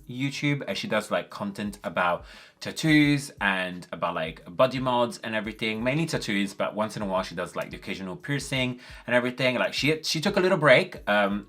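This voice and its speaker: A man's voice: lowish voice, a bit bright. A man from Italy, speaking English as a second language with an Italian accent, chatting casually with lots of ums and likes while doing his makeup. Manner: chatty, many fillers like um and like. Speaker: beauty vlogger.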